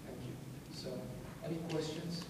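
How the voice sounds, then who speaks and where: A man's voice: on the high side, fairly even intonation, normal speed. A young man from Iraq whose first language is Arabic, speaking hesitantly to a conference audience with many restarts and an easy laugh. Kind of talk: casual informal talk to an audience. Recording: echoey hall from far off, noisy.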